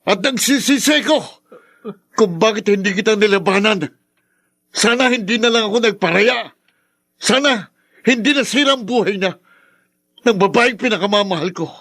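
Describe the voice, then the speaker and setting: A man's voice: high-pitched, normal speed, long pauses, a bit bright. An actor playing an earnest, reproachful young man in love, with an intense radio drama delivery. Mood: anguished anger. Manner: pleading, intense, melodramatic. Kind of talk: Filipino radio drama acting. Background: music in the background.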